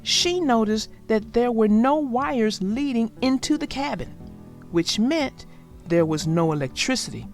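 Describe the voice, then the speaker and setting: A woman narrating a children's storybook in an expressive, deliberate read-aloud style. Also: on the high side. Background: music.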